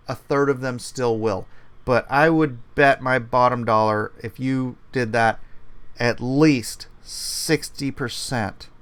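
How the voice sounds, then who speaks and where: Slow, deliberate male commentary host. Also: mid-range voice, fairly bright tone, smooth. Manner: earnest, argues step by step, repeats key points. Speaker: host reading viewer comments. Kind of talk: opinionated commentary show.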